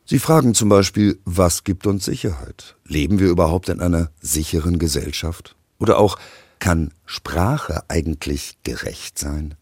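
A man's voice: sits low, darkish tone, dry recording, studio quality. A man reading a scripted podcast promo as an announcer.

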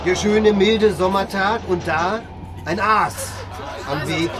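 High male voice, solemn eccentric liftboy, declamatory, ceremonious, radio play, loud music behind. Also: slow, crowd noise. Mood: morbid, declamatory. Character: solemn eccentric male lift operator reciting morbid poetry. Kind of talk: radio drama acting, theatrical recitation.

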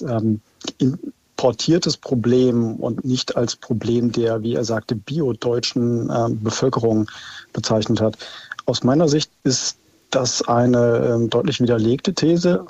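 Gravelly husky man on a dull phone line. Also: medium pitch, darkish tone, no room sound. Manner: firm, deliberate, many fillers. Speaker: caller. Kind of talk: phone-in opinion.

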